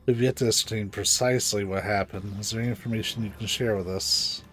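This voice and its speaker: Guy reading as an earnest game guard, kinda low voice, formal, urgent, bit of music behind. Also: fairly even intonation, fast, fairly bright tone, natural voice. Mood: watchful. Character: earnest, alarmed, dutiful male guard. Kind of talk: reading game dialogue aloud.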